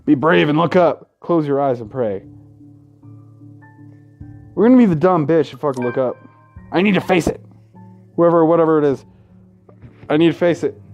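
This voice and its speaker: A man's voice: fairly high pitch, normal speed, pauses often, a little mellow, highs cut off. A man reading a horror game aloud in a dramatic style as a let's play host, breaking off for crude, profane, mocking asides. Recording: music behind the voice. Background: game music.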